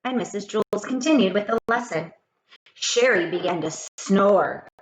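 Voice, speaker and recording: A woman reading a children's story aloud in an expressive way, speaking straight to young listeners. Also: mid-range voice, moderate pace. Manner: expressive, addresses children directly. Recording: roomy echo with faint noise.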